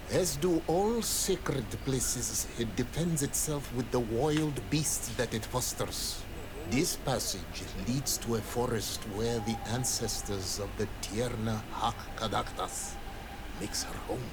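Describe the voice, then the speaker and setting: A man's voice: medium pitch, background noise. An actor playing a guarded, solemn island native man in a video game, speaking formally and sternly. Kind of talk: video game character dialogue.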